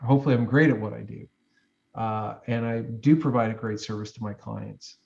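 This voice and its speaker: A man's voice: fairly low pitch, steady tone, moderate pace, gravelly, darkish tone, dull audio. A man in his forties, a guest giving advice in a reflective interview, talking in loose, rambling sentences with many fillers and restarts. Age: 40s.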